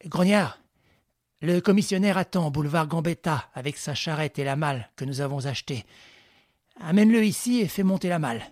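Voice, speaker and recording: A man voicing a cunning gentleman thief who gives orders briskly and with dry irony. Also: fairly high pitch, lively intonation, no echo, natural voice. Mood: commanding. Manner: brisk, commanding, ironic.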